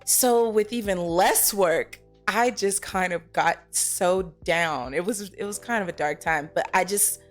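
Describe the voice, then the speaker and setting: A young woman chatting casually and candidly as an interview guest, telling stories in an animated way. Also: on the low side, unhurried, hardly any pauses, faint music behind. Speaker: guest actress being interviewed.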